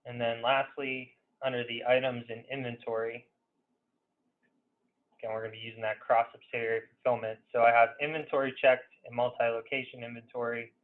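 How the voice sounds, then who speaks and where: Over a thin, phone-like webinar line, a man walks through a software demo in an informal, conversational way. His intonation stays flat and his pitch sits in a middle range. He speaks with some hesitation and leans often on "you know". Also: brisk. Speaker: consultant presenting a software webinar. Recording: narrow phone-like webinar audio.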